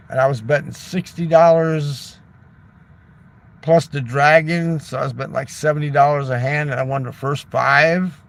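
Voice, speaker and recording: A man's voice: mid-range voice, slight background noise. A man telling a long casual story, loose and chatty, swearing freely and tossing in "right?" and "man". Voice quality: gravelly. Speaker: livestreamer.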